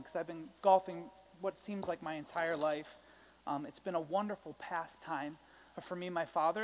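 A young pastor whose voice sits unusually high for a man. He preaches in a chatty, self-mocking way with quick asides to the congregation. The long reverb of a church sanctuary rings behind his words. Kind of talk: sermon.

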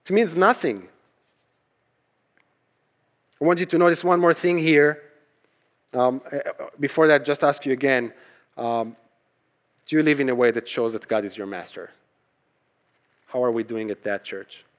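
Male preacher, Hebrew native, mid-pitched voice; conversational sermon delivery with emphatic repetition. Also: moderate pace, long pauses. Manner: emphatic, repeats key phrases for weight. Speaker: pastor preaching to his church. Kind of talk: conversational sermon with rhetorical questions.

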